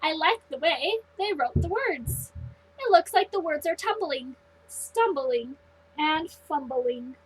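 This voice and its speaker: A woman teacher reading a story aloud to children, pausing to put questions to her listeners. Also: high voice, animated, slight background noise, rough recording.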